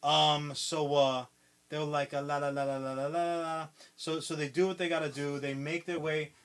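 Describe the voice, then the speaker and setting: A man with a voice pitched a touch higher than most, chatting loosely as a podcast co-host. He rambles through a story, swears freely and leans on fillers and restarts. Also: flat delivery, moderate pace, clean tone. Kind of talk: casual pitch-style movie retelling.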